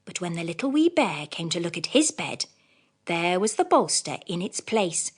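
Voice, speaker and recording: Animated woman reading children's stories. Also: fairly low pitch, moderate pace, husky. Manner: expressive storytelling. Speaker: audiobook narrator. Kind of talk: scripted children's storybook narration.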